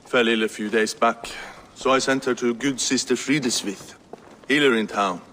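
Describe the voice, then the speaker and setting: An actor playing a gruff, chatty medieval village craftsman in a video game, blunt and folksy. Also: mid-range voice, moderate pace, fairly bright tone, close to an everyday voice. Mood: blunt, proud. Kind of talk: video game character dialogue. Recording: some reverb and faint noise.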